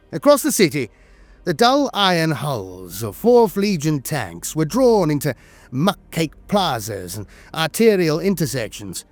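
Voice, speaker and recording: Male lore narrator, slightly high voice, dramatic and solemn, wide swings in pitch, close dry sound. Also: no echo.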